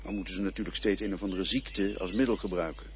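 An actor playing an enigmatic male guide to death in a radio play, philosophical and quietly insistent. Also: low-pitched, fairly even intonation, quick pace, husky, dull audio. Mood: musing. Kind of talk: radio drama acting.

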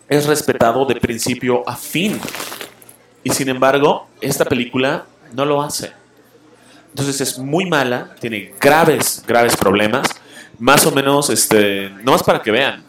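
A man's voice: medium pitch, echoey room. A man hosting a show, giving a blunt, opinionated film review full of fillers and restarts. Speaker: show host reviewing films and comics. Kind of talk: casual opinionated radio-style review.

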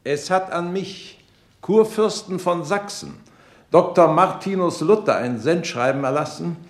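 An actor in a German radio play, playing a pompous ruling prince who addresses courtiers with ceremonious, pointed formality in an echoing room. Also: male voice, on the high side, unhurried. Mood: pointed displeasure. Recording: roomy echoing chamber sound.

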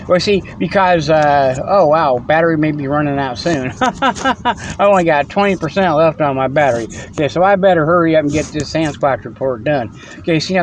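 A man with a high voice rambles on, chuckling and restarting his sentences as he teases. He records it himself on a phone. A faint hum of background noise sits under him. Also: low-quality recording. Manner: playful, teasing. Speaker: host. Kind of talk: rambling comic monologue.

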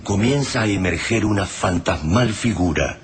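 A man narrating a radio play in a grave, measured voice. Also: low voice, expressive, a little hiss or hum.

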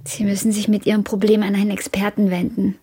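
A woman's voice: medium pitch, flat delivery, fast talker, mellow, breathy edge, no room sound, natural voice. An actress in a radio drama playing a guarded, searching woman cut off from her past, restrained, firm and questioning.

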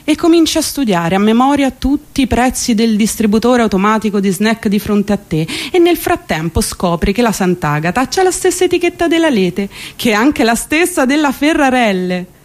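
High-pitched Roman woman performing a monologue. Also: sing-song, normal speed. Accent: Roman Italian. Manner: theatrical, colloquial, exasperated, foul-mouthed delivery. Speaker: stage actor performing a monologue. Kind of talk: dramatic comic monologue, first-person narration.

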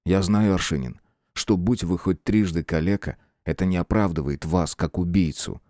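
A man reading a composed, stern male investigator in an audiobook, measured, firm and unsentimental. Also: low voice, warm tone, no echo, clean studio sound, natural voice. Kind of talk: dramatic audiobook character reading.

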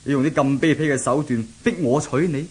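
A man's voice: medium pitch, fairly even intonation, brisk, a little mellow, slightly rough, lightly acted. A man acting a bitter, hard-drinking young groom forced into marriage, brusque and melodramatic, in a 1970s Hong Kong Cantonese radio drama. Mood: disgusted, angry.

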